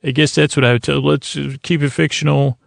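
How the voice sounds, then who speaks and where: A man hosting a podcast as a rambling bedtime monologue, halting, digressive and self-deprecating, meant to lull and soothe. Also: on the high side, fairly even intonation, vocal fry, no echo, studio quality. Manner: deliberately lulling and soothing. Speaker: podcast host.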